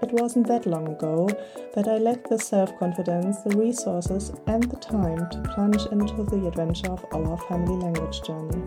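A woman's voice: medium pitch, moderate pace, music in the background. A middle-aged woman from Germany with a German accent, hosting her podcast and reading an earnest, encouraging script. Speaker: podcast host.

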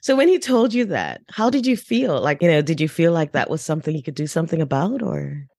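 Woman podcast host, low voice, super swoopy intonation, coach doing a chatty interview. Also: expressive, quick pace, gravelly, warm tone, no room sound, studio quality. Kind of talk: podcast introduction and conversational interviewing.

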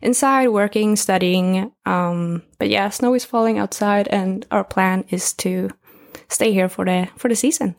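Swedish-accented woman with creaky voice. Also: mid-range voice, a little slow. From northern Sweden. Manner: relaxed, chatty, searching for English words. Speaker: guest. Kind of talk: casual interview talk.

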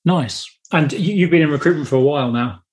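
A man hosting a podcast, asking friendly, halting questions with frequent restarts. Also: fairly high pitch, breathy edge. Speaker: podcast host. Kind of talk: casual interview hosting.

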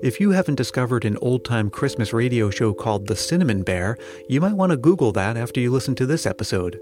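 A man hosting a podcast, giving scripted introductions and interview questions. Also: medium pitch.

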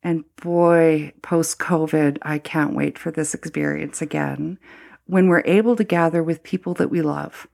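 A middle-aged woman from Canada speaks in a low, slightly gravelly voice. She talks reflectively and haltingly, circling back with fillers and restarts as she works through her thoughts. It sounds like a thoughtful interview conversation. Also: fairly even intonation, darkish tone. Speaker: former Anglican priest, guest.

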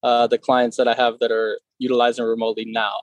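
Relaxed man in his thirties, dry sound. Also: mid-range voice, fast talker, dry recording. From Connecticut, USA. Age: 30s. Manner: relaxed, many fillers. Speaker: personal trainer, guest. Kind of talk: casual interview.

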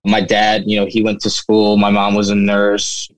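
Young man, slightly low voice, interview guest, informal storytelling, frequent "you know", close dry sound. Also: monotone, moderate pace, no echo, low-quality recording. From United States, born in Turkey. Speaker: interview guest, flooring business owner. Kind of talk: casual personal storytelling.

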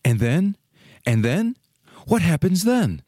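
Man playing a restless young fir tree, animated pitch. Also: slow, no echo, clean studio sound, exaggerated voice. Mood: eager curiosity. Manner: earnest, exclamatory, questioning. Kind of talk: dramatic storybook reading.

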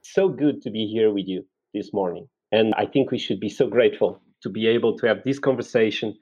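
A man's voice: fairly low pitch, a little fast, darkish tone, slightly rough, dull audio. A man in his forties from Portugal with a Portuguese accent, telling personal stories in a reflective, conversational way as an interview guest. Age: 40s.

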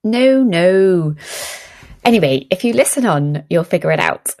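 A woman with a slightly low voice presents as a podcast co-host. Her delivery is scripted but playful and breezy, like easy banter between presenters. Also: lively intonation, brisk, a little mellow.

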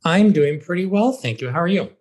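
A man's voice: high-pitched, animated, a little fast, slightly rough. A man, a theater director, giving conversational explanatory answers as an interview guest. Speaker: high school theater director, podcast guest.

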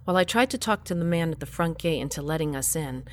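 A woman's voice: sits low, expressive, quick pace, noisy background. An actress playing a wry heroine who narrates her adventure in the first person in an audio drama. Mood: matter-of-fact.

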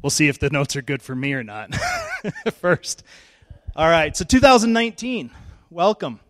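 Hoarse man, middle-aged pastor, conversational sermon, slightly raised voice, handheld mic in church. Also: on the high side, very animated, normal speed. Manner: chatty, self-joking, conversational. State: hoarse, losing his voice. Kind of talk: informal sermon. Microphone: handheld microphone.

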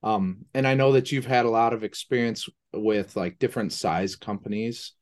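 A man's voice: on the low side, moderate pace. A man hosting a casual interview, asking informal, loosely built questions with lots of "like". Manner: informal, hedging questions full of "like". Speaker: host, interviewer.

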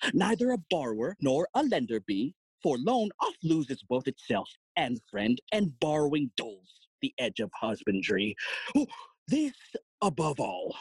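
A woman playing a fussy, long-winded old father and counsellor in a classical Shakespeare audio play, husky and halting, with little hums and chuckles. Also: on the low side, a little mellow, no echo, heavily acted, rough recording. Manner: theatrical, halting, chuckling and humming between phrases.

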